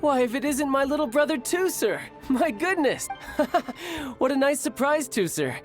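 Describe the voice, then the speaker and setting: A light-voiced, youthful man as an affable, doting big brother in a video game. His smooth-talking, breezy delivery moves around a lot in pitch, with music in the background. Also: animated, moderate pace. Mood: delighted. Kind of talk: video game dialogue acting. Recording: music behind the dialogue.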